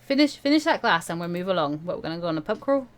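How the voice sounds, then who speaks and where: A woman's voice: on the low side, big pitch swings, fast. A woman voicing a casual, wry adult male mentor in a visual novel, coaxing and giving instructions. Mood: casual, bossy.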